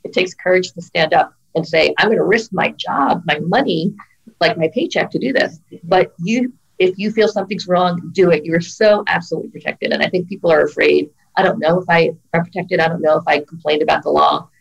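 A woman's voice: fairly low pitch, a little fast, gravelly, slightly rough, muffled recording. A middle-aged woman lawyer on a video call, giving earnest, emphatic advice in casual conversation. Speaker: employment lawyer guest. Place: office with brick wall. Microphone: video call webcam.

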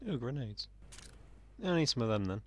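A young man, slightly croaky, giving sarcastic, sweary commentary while playing a game. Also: mid-range voice, animated, brisk. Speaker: let's play gamer. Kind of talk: casual gameplay commentary.

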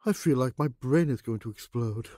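An actor playing a fresh-faced, flustered young politician. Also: male voice, mid-range voice, normal speed, mellow, dry recording, clean studio sound, natural voice.

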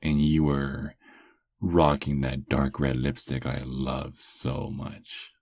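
A man's voice: very deep voice, flat delivery, creaky, no echo, exaggerated voice. A man playing a doting, teasing vampire lover in an intimate, murmured ASMR roleplay, close to the microphone. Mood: pleased storytelling. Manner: slow, intimate murmuring with soft chuckles. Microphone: close microphone, dry.

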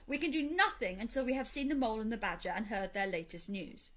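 A woman's voice: fairly high pitch, sing-song, normal speed, a bit bright, breathy edge, full character voice. A woman voicing a sensible, fussy male water rat in a storybook, earnest and chiding. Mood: scolding.

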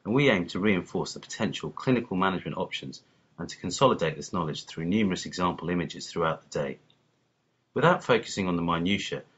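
A man who is a doctor and course lead reads a scripted promotional narration in a formal, measured manner. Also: deep voice, fairly bright tone, slightly rough. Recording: muffled lo-fi voice-over.

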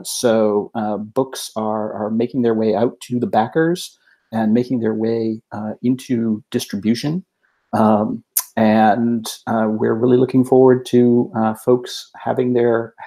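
A man giving a casual product update as a podcast guest over a video call, in halting phrases. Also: medium pitch, vocal fry, mellow. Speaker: podcast guest, game publisher representative.

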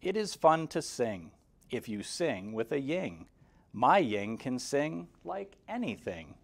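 Lively, unhurried man reading a children's rhyme. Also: medium pitch. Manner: expressive, measured, sing-song delivery with clear emphasis. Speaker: guest story reader for kindergarteners. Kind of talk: reading a rhyming children's picture book aloud. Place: indoor room, seated in front of a banner. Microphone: lapel mic.

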